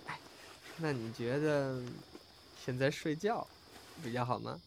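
一位男演员在广播剧里饰演调皮爱闹的相声搭档兼恋人，年纪较轻，说话俏皮，爱斗嘴。. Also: male voice, medium pitch, measured pace, gravelly, slight background noise. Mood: playful, coaxing. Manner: cheeky, expressive, bantering. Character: cheeky, playful younger male comedy partner and lover. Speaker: voice actor. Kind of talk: intimate audio drama dialogue.

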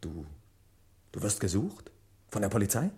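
An actor playing a guarded, resentful innkeeper, terse and reluctant, in a vintage radio crime drama. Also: male voice, lowish voice, big pitch swings, moderate pace, dark tone. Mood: tense, resentful. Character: guarded, resentful male innkeeper with a shady past.

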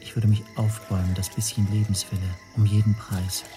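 An actor playing an anxious, self-doubting man who narrates his own story in a breathy, brooding hush. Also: lowish voice, flat delivery, fairly quick, faint music behind, lightly acted. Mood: despairing. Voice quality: husky, breathy, often near whisper. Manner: brooding, introspective, hushed. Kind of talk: first-person radio play narration.

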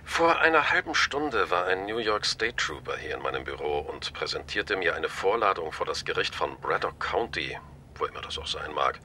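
An actor playing a shrewd media boss in a radio drama, polite but pointed, wry and authoritative. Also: male voice, extremely low pitch, sing-song, normal speed, pushed and bright, a little hiss or hum, heavily acted. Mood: pointed, businesslike. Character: brisk, shrewd male media boss, wryly amused, decisive.

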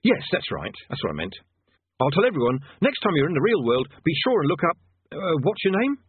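A man voicing a nervous, cowardly male wizard who talks his way out of trouble, hurried and sarcastic. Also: a little high, huge pitch swings, husky, no echo, pitched above the performer's own voice, full character voice. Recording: tinny phone-like dry sound.

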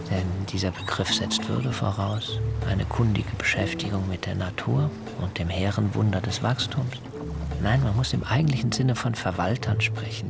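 A man's voice: fairly low pitch, vocal fry, full character voice. An actor playing a disdainful, embittered man brooding in a literary inner monologue for a radio play, with scornful emphasis. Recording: loud music under the voice.

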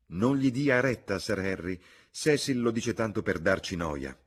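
Earnest young storybook lady played by a man: polite, insistent, lifted in pitch. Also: expressive, unhurried, breathy edge. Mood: protesting. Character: eager, earnest young gentlewoman. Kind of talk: audiobook character voice.

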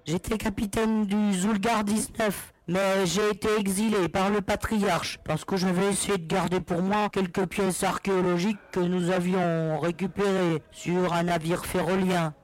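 A man's voice: high voice, little pitch movement, a little slow, few pauses, no room sound, rough recording. A man playing an agitated, plaintive galactic smuggler and exiled captain in an audio drama. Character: hysterical red-haired galactic smuggler, exiled trader captain. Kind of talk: French audio drama acting.

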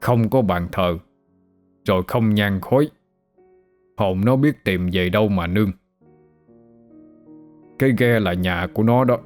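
A man's voice: fairly low pitch, measured pace, long pauses, darkish tone, faint music behind, lightly acted. A man voicing an elderly village ritual master, stern but kindly, in a Southern Vietnamese accent, in an earnest, folksy, admonishing way for an audio story.

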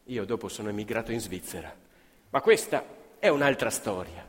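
An actor playing a proud, declamatory storyteller of workers' struggles in a radio theatre piece. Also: male voice, on the low side, lively intonation, a little fast, natural voice. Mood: storytelling. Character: proud male storyteller recalling workers' struggles, breaking into shouted headlines. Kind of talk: stage radio theatre narration in Italian. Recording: reverberant hall, faint live music behind. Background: faint live music.

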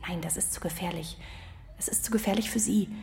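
An actress playing an urgent, guarded young undercover agent who speaks politely and hurriedly in a German radio drama. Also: female voice, on the low side, a little fast, gravelly, a little mellow, breathy edge, full character voice. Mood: urgent, secretive. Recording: faint ambient noise behind.

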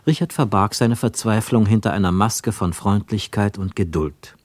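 A man narrating a literary radio play with expressive, scripted storytelling. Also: lowish voice, normal speed, dry recording.

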